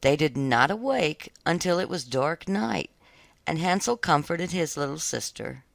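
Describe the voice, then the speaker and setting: Audiobook narrator for children's fairy tales: expressive range, some fry, dry recording. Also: mid-range voice, unhurried, dry recording.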